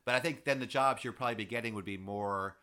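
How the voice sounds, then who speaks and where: A man's voice: on the low side, fast, bright, forceful tone, clear, resonant tone. A man interviewing a guest in a conversational way, asking curious, probing questions with many hedging phrases.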